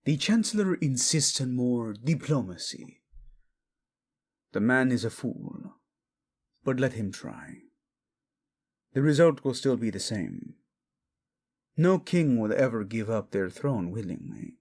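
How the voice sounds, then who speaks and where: A man's voice: mid-range voice, lively intonation, slow pace, long pauses, a little mellow. A man playing a proud, vengeful young king, fiercely protective of his wife, in a dramatic romance audio roleplay. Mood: cold, vengeful. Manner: brooding, commanding, tender in endearments.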